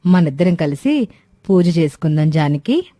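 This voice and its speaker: A caring, sincere rich wife from a village moral story, voiced by a woman. Her voice is low and very mellow, recorded dry. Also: sing-song, moderate pace, no room sound. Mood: affectionate. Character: kind, caring wealthy landlord's wife.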